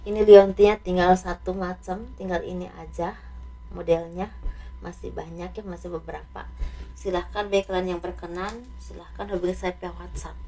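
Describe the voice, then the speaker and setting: A slightly hoarse woman doing a casual tarot reading, speaking softly and letting her phrases trail off. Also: lowish voice, little pitch movement, moderate pace, a little hiss or hum, low-quality recording. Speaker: tarot reader.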